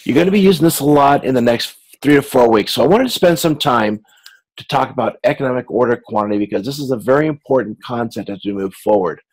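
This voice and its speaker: A man with a medium-pitched voice delivers an informal lecture. He explains as a course instructor would, often doubling back to repeat or reword a phrase before carrying on. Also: animated, rough recording. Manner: halting, repeats and rephrases himself as he explains.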